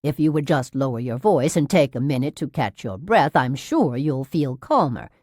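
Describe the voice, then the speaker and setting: A woman's voice: low voice, animated, a little slow, no echo, studio quality. A woman reading an audiobook as a severe nurse who talks in a syrupy, falsely soothing, patronising and overly reasonable manner. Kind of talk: dramatised audiobook narration.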